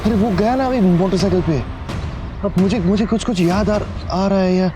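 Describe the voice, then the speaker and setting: A man's voice: sits high, normal speed, close to an everyday voice. A man playing an earnest male witness from a housing society in a television crime drama. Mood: agitated, struggling. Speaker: actor in a television crime series. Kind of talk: Hindi TV crime drama acting. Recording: loud dramatic background music.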